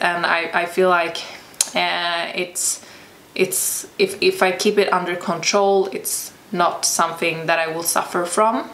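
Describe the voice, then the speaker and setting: A woman's voice: fairly low pitch, fairly bright tone. A young woman from Sweden, a native Swedish speaker with a Swedish accent, answering viewer questions in a casual vlog, thoughtful and hesitant as she searches for words. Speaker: vlogger and personal trainer. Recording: echoey room with faint ambient noise.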